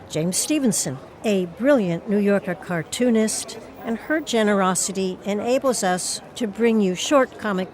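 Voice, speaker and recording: A woman's voice: mid-range voice, slight background noise. Woman host reading a scripted introduction. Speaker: host introducing an audio play. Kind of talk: scripted narration.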